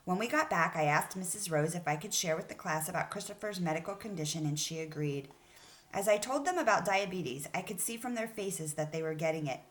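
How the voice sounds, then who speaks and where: A woman's voice: fairly low pitch, lively intonation, a little fast. A woman teacher speaking to children in an encouraging, instructive way as she leads a read-aloud lesson. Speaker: elementary school teacher.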